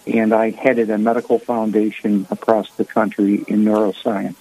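A man's voice: fairly low pitch, completely flat delivery, moderate pace, dark tone, slightly rough, poor audio. A man in his sixties from the Boston area with a Boston accent, a guest speaking earnestly in a radio interview.

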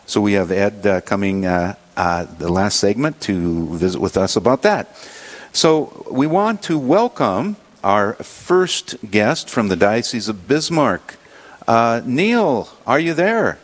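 A man hosting a religious radio show, leading prayer and introducing guests in a halting way with many fillers. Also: on the low side, big pitch swings.

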